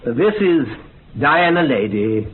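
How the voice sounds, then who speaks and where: An actor playing a polite, slightly hesitant gentleman patron in a radio play. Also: a little high, sing-song, slow pace, full character voice. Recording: muffled, clearly noisy, band-limited radio recording.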